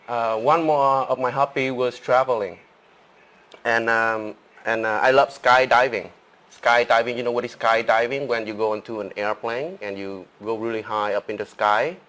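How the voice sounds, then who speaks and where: A man's voice: mid-range voice, a bit bright. A Vietnamese man with a Vietnamese accent, co-hosting a live English lesson and explaining things in simple words as he rambles. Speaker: co-host.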